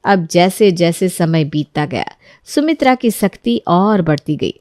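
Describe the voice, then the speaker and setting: A woman narrating a moral cartoon story in an even storytelling manner. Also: fairly low pitch, measured pace, dry recording, studio quality.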